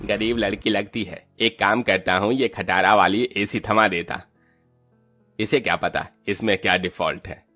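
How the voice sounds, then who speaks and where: A man's voice: on the low side, steady tone, a little slow, pushed and bright, faint music behind. A man playing a sly junk dealer in a cartoon story, with a swindler's wheedling sales patter. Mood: scheming.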